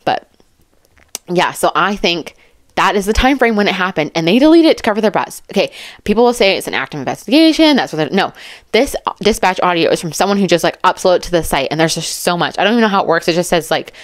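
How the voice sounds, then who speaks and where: A woman's voice: on the low side, animated, fast, no echo, clean studio sound. A woman giving casual true crime commentary, chatty and rambling, with an emphatic, informal way of talking.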